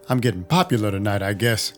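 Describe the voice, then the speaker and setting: A man playing a sardonic, tough-talking vampire detective, narrating in clipped first-person noir audio drama style. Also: fairly low pitch. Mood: sardonic threat. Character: hard-boiled, sardonic male vampire detective, menacing and brash.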